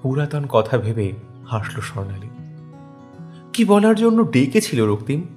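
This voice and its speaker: A male narrator reads a romantic story with dramatic feeling. His voice sits at a middle pitch and sounds wistful, with soft instrumental music behind him. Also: sing-song, measured pace.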